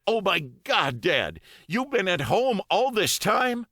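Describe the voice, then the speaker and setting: A man's voice: high voice, big pitch swings, slow pace, sharp tone, no room sound, clean studio sound, pitched above the performer's own voice, full character voice. A man voicing a worried adult son in an audiobook, urgent, anxious and apologetic. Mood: alarmed. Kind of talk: audiobook character dialogue.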